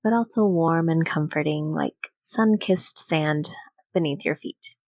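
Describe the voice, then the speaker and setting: Very slow, soothing woman guiding meditation. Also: low voice, dry recording. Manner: gentle, unhurried, soothing, with long pauses. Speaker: meditation guide. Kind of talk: guided meditation, soothing scripted narration.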